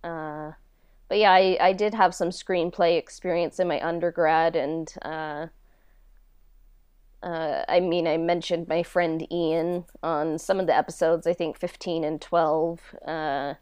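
A woman talks casually in an interview with a clear, smooth voice on the lower side. She is very unhurried, informal and a little self-deprecating, feeling her way through sentences. The sound is dry, with no room around it. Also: fairly even intonation, darkish tone, dry recording. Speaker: podcast host and audio drama writer being interviewed.

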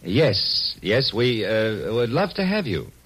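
An actor playing a wry, easygoing, flirtatious private detective in a 1940s radio drama. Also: male voice, lowish voice, very animated, slow pace, a bit bright, husky, heavily acted. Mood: wry, friendly. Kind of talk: 1940s radio drama acting and first-person narration. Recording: old radio recording with music behind.

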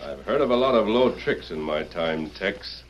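A man's voice: low voice, lively intonation, normal speed, lo-fi sound. A man playing a righteous, bold masked cowboy hero in an old-time radio western, speaking firmly and with indignation. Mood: indignant.

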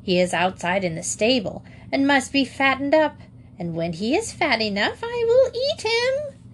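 A woman voicing a wicked, greedy old witch who talks in a slow, gloating way. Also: high-pitched, big pitch swings, a little hiss or hum, pitched above the performer's own voice. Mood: scolding.

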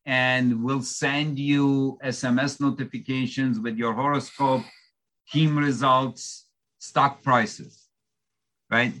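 A man in his fifties from Turkey, a native Turkish speaker with a light, shifting accent, calmly explaining his career as a founder in an interview. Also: medium pitch, low-quality recording. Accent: light shifting foreign accent. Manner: measured, explanatory storytelling. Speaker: startup founder, guest. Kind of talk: interview answers.

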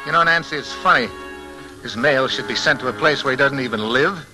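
An actor with an American accent playing a brash, wisecracking amateur sleuth husband in an old radio mystery, breezy and prone to stammer when rattled. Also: male voice, medium pitch, a little fast, pushed and bright, rough recording. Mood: breezy, determined. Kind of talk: 1940s radio mystery acting. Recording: old radio drama with music.

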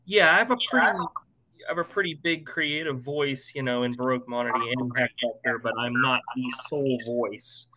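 Bright-voiced American man, chatting casually. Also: medium pitch, moderate pace, rough recording. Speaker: musician interviewed as guest. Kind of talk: casual conversational interview.

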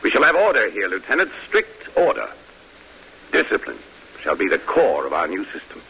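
A man's voice: lowish voice, wildly swooping pitch, moderate pace, vocal fry, bright, forceful tone, full character voice, low-quality recording. An actor playing a stern, dignified army major in old-time radio drama, formal and commanding in his oratory. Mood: indignant, strict. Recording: hissy vintage radio recording.

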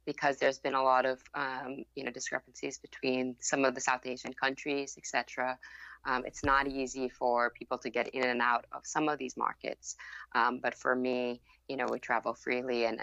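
A young woman from Thailand, whose first language is Thai, answers interview questions in a measured way, leaning on "you know" and "um". Her voice has a gravelly edge and a bright tone. The sound is dry and a little dull, as from a remote call. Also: very deep voice, dry recording. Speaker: guest, fund founder. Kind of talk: podcast interview.

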